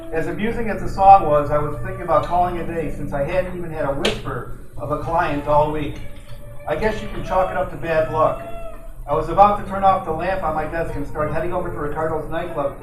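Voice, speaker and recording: A man's voice: a little high, noisy background, natural voice. A man playing a wisecracking hard-boiled detective, roomy sound. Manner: wry, slangy tough-guy talk. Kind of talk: old-time radio detective drama, noir narration and dialogue. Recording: roomy, distant, music behind.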